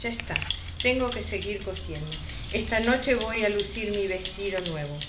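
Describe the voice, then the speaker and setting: A woman's voice: medium pitch, moderate pace, lightly acted. An Argentine woman voicing a lovesick, impulsive young seamstress in a radio soap. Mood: anxious, hopeful. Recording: echoey, noisy, muffled home recording.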